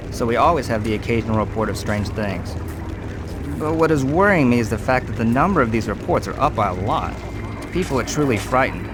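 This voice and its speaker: A man's voice: mid-range voice, natural voice. A man playing a worried base supervisor over music. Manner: earnest, uneasy, plain-spoken log dictation. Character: worried, conscientious male supervisor at an underground planetary base. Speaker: environmental services supervisor in a video game. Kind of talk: video game audio log acting.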